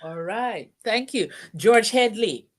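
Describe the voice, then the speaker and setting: Lively-voiced woman hosting an interview. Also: on the high side, slow. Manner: encouraging, asks rambling questions. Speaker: host. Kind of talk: interview host.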